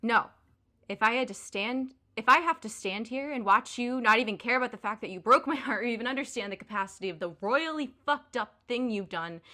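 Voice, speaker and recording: An actress plays a young woman betrayed by her boyfriend in an audio drama. Her voice is bright and forceful, with a biting, sarcastic edge. She swears freely, sounding both hurt and furious. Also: a little high.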